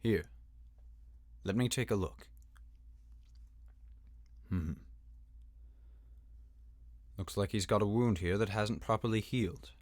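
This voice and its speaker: A man's voice: on the low side, slow, pauses often, a little mellow, no room sound. A man playing an earnest, dutiful young knight in a game fan dub, speaking in a serious, slightly formal manner. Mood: reassuring. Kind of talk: acted game dialogue.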